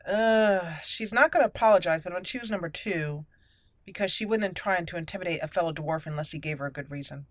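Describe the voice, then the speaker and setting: A woman's voice: on the low side, quick pace, no echo. A woman hosting a roleplay let's play, chatty and playful, thinking aloud as she comments on the game. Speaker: YouTube gaming host.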